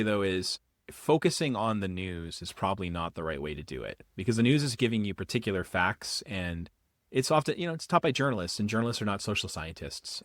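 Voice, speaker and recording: A man from the Boston area talks fast as a podcast guest, tumbling through explanations and correcting himself. His voice sits a little low. The sound is dry and close. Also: no room sound. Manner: quick, animated explaining with repeated restarts. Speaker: guest, political philosophy professor. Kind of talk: casual podcast interview.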